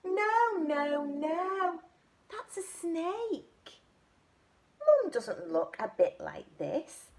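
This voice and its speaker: A woman voicing an anxious little monkey searching for its mum, reading rhymes in a sing-song way with high cries of protest. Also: exaggerated voice. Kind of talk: storybook read-aloud.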